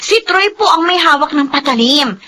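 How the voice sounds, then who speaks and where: An actress playing an earnest, respectful young adult Filipino daughter, polite and concerned, in a radio drama. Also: female voice, high voice, animated, moderate pace, sharp tone, a little hiss or hum.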